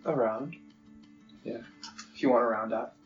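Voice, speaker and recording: A man's voice: mid-range voice, a little slow, dull audio, soft background music. Plain-spoken man of twenty. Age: 20s. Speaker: interviewee.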